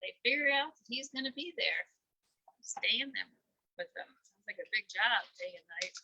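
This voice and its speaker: A woman's voice: fairly high pitch, moderate pace, slightly rough, rough recording. An older woman reading a children's story aloud as a grandparent storyteller, expressively and with playful asides to her young listeners. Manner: expressive, asks playful questions.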